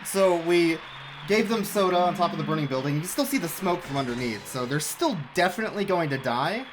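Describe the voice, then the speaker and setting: A man's voice: a little high, expressive, brisk, noisy background. A man giving casual, joking live speedrun commentary with a sarcastic edge. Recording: game music playing behind.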